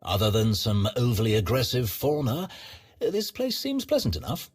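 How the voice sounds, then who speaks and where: A man's voice: medium pitch, huge pitch swings, moderate pace, breathy edge. A man playing a haughty Sith sorcerer in a video game, speaking in a wry, sardonic and formal manner. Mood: wry, dry. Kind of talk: scripted video game acting.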